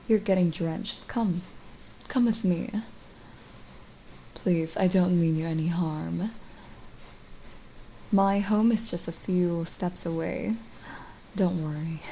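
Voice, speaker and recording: Kindly cottage witch, played by a woman for ASMR roleplay: unhurried, lowish creaky voice, gentle coaxing, hushed moments. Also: slight background noise, rough recording. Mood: concerned. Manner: gentle, coaxing, hesitant pauses, drifting into whispers. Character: lonely, kindly forest witch, soothing and hospitable. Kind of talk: ASMR roleplay audio drama.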